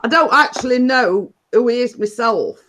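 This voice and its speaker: A middle-aged woman hosts a relaxed chat. She rambles through her story, often stops to chuckle, and uses dialect turns like "were" and "me day". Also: a little high, moderate pace. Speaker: host. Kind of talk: casual chat.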